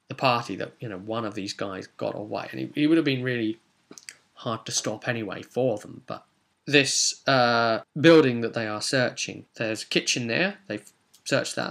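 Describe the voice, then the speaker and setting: Male game master, mid-pitched voice, for a chatty tabletop session recap; wandering, self-correcting delivery with dramatic rhetorical questions. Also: sharp tone. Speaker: game master and video host. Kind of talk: informal recap of a tabletop role-playing session.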